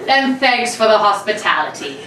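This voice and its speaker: A woman's voice: medium pitch, sing-song, moderate pace, sharp tone. A woman playing a gleeful, cocky male robber in an old-time radio play reading, mock-polite and theatrical. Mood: gloating, playful. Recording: echoey live room, distant mic, faint noise.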